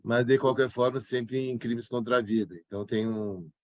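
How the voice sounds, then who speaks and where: A man in his fifties from São Paulo with a slight gaúcho accent, a forensic expert guest recovering from a cold and talking in a relaxed, rambling way. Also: medium pitch, moderate pace, no room sound, muffled recording. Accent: slight southern Brazilian gaúcho. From São Paulo, Brazil. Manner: relaxed, rambling, with frequent restarts. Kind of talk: casual interview talk.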